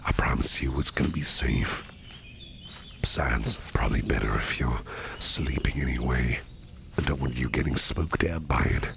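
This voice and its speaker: A man playing a caring, protective businessman boss in a gentle ASMR roleplay, speaking softly and reassuringly, often breathy and near a whisper. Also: extremely low pitch, noisy background. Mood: soothing. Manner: gentle, reassuring, unhurried, repeats phrases softly. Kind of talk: ASMR roleplay, soft personal attention.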